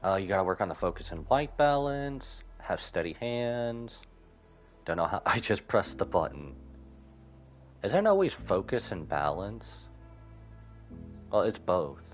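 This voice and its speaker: A man's voice: on the low side, sing-song, measured pace, long pauses. A man giving casual live commentary as he streams a game. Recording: dull audio over game music. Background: game music.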